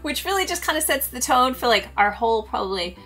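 An American woman in her thirties, a travel vlogger chatting casually to camera and telling stories in an animated way. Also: sits high, quick pace, soft background music. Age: 30s.

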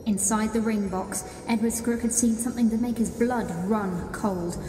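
A woman's voice: a little high, steady tone, normal speed, over music, close to an everyday voice. A woman playing a theatrical storyteller, narrating a rhyming Christmas tale in an audio drama, echoey and distant. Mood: storytelling. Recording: echoey, distant, very loud ambience.

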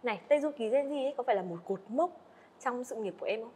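A woman's voice: on the high side, lively intonation, rapid-fire, a little hiss or hum. A young woman hosting a podcast, asking questions and giving short "ừ" acknowledgements. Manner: warm-up questions, frequent "ừ" backchannels. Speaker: podcast host. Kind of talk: podcast interview. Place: indoor studio set.

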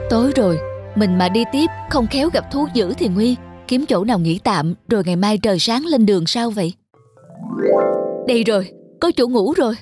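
A woman's voice: a little high, expressive, normal speed, natural voice. A woman voicing a meek, honest young peasant man in a folk-tale cartoon, polite and deferential. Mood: earnest. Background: background music.

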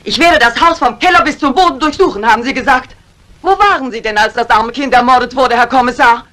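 An actress playing a resolute, sharp-tongued woman in a menaced house, urgent and dramatic, in a 1950s German radio crime drama. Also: high voice, a little fast. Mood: determined, accusing. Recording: old radio play recording, faint hiss.

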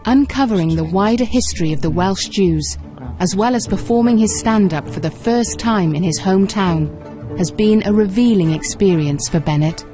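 A woman's voice: mid-range voice, moderate pace, hardly any pauses, warm tone, faint music behind. A woman reading scripted narration for a television documentary.

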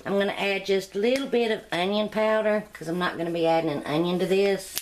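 A woman's voice: medium pitch, brisk, slight background noise. A middle-aged woman, chatting homily through a cooking demonstration in her home kitchen. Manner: chatty, homey, rambling asides. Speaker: cooking channel host. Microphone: camera mic, speaking off camera.

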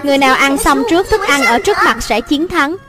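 A woman dubbing characters in an animated children's cartoon. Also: high voice, quick pace. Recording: loud music behind. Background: loud music.

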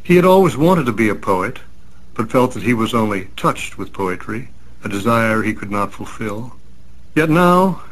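A man reading a scripted commentary in a formal, literary, measured manner. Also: mid-range voice, poor audio. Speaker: commentator, series artistic director.

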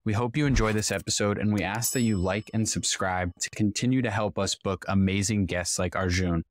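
A man's voice: on the low side, steady tone, fairly quick, no echo. A young man hosting a podcast, talking in a friendly, laid-back way into a studio mic. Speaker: podcast host. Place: small room with dark backdrop. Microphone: studio mic on a boom arm, headphones.

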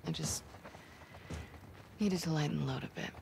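A blunt young soldier woman from a video game cutscene, speaking in short, firm lines. Her voice sits a bit low and stays guarded and hard, even when she talks quietly to a friend. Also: normal speed, creaky, slight background noise. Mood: curt. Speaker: game character.

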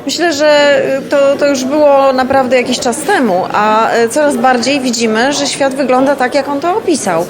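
A woman with a slightly high voice gives a thoughtful, hesitant interview answer full of filler sounds. Crowd chatter is clearly heard behind her. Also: moderate pace, few pauses. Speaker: festival curator, interviewee.

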